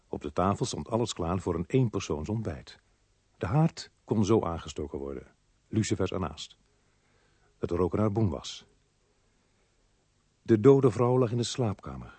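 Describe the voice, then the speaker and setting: An actor playing a brisk, world-weary police inspector who narrates his case in a radio crime drama. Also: on the low side, sing-song, dark tone, slightly rough, dry recording, exaggerated voice. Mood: sober narrating.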